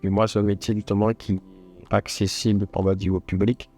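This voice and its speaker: A man's voice: fairly low pitch, flat delivery, fairly quick, a little mellow. A man speaking as a podcast guest, reflecting on himself in an informal, conversational way. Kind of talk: casual conversation. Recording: music behind. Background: music.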